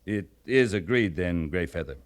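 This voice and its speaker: An actor playing a resolute American army captain in an old-time radio western, speaking in a measured, authoritative way. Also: male voice, low voice, animated. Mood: firm, persuasive. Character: resolute, plain-spoken U.S. Army cavalry captain in the Old West. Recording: vintage radio drama recording.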